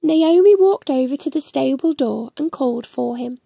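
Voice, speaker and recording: Very animated woman reading to children. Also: high-pitched, normal speed, gravelly, no echo, clean studio sound. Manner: expressive, sing-song, addressing small children. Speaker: children's storytime host and reader. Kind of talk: animated read-aloud for young children. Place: small furnished room at home.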